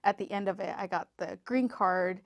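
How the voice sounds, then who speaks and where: A woman's voice: medium pitch, normal speed, creaky. Woman from southern Mexico telling her story. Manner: relaxed, frequent ums and uhs. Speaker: podcast guest, medical interpreter. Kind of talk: casual storytelling.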